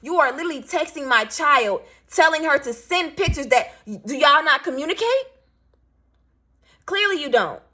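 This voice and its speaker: A raspy-voiced woman in her thirties, a single parent venting bluntly and with swearing as she tells a story to her viewers. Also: high-pitched, animated, moderate pace, bright, forceful tone. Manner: blunt, profane, emphatic.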